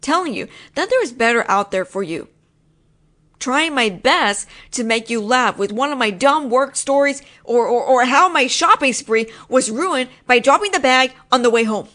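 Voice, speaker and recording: A woman's voice: sits high, lively intonation, moderate pace, a bit bright. Woman voicing a possessive roommate. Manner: frustrated, insistent, teasing. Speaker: voice actress playing a possessive roommate. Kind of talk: scripted one-sided audio roleplay.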